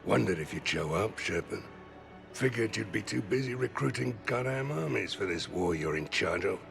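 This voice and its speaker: An actor playing a gruff, cynical veteran mercenary in a video game, gravel-voiced, blunt and grumbling. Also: male voice, on the low side, lively intonation, moderate pace. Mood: gruff, wry. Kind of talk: cinematic video game acting. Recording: game music underneath.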